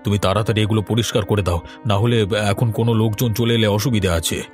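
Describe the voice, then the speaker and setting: A man's voice: fairly low pitch, fairly even intonation, fast, a little mellow, faint music behind, lightly acted. A man voicing a worried, grave middle-aged father in a horror cartoon.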